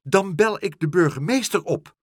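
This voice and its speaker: A man voicing a fussy, indignant woman in a children's storybook, snappish and shrill as she nags and complains. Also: huge pitch swings, moderate pace, dry recording, clean studio sound, full character voice. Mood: threatening. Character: fussy, indignant, scolding woman, easily outraged.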